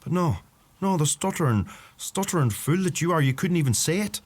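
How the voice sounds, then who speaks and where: An actor with a Donegal Irish accent playing a brooding, awkward young farmer in a radio play. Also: male voice, a little high, lively intonation, fairly quick. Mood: angry self-reproach. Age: young adult. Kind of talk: radio play acting with poetic monologue.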